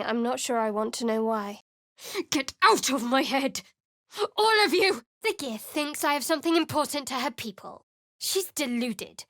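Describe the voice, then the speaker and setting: A secretive young cleric of a night goddess, voiced by a woman, dry and sardonic, guarding every word in a video game. The voice sits a little high for a woman and is recorded close and dead dry in the studio, with no room at all. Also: no room sound, lightly acted. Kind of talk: video game character voice lines. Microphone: close studio mic.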